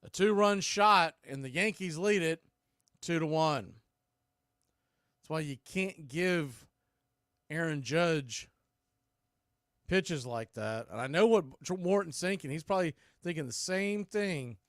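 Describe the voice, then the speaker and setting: Higher-voiced man calling baseball play-by-play. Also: animated, slow pace, long pauses, fairly bright tone, no echo, clean studio sound. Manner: clipped pitch calls with short phrases. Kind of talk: live radio-style play-by-play commentary.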